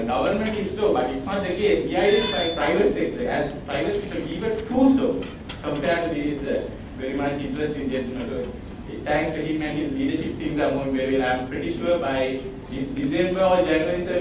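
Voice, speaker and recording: A man giving a briefing at a business lunch, speaking haltingly with many uh fillers. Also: on the high side. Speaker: industry association representative. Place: hotel conference room. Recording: echoey hall from far off, noisy.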